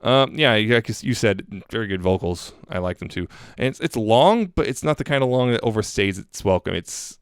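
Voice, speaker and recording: A man co-hosting a podcast in casual, unscripted chat, low-key and often rephrasing and hedging his opinions. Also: lively intonation, a little fast, hardly any pauses, clean tone, dry recording, studio quality. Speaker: podcast co-host reviewing fan music.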